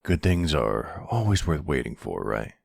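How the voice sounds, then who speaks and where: A man playing a middle-aged silver fox mafia boss, smitten and commanding, speaking tenderly and gently in an intimate ASMR roleplay. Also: very animated, dry recording, studio quality, heavily acted. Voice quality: low, gravelly. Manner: slow, tender, measured.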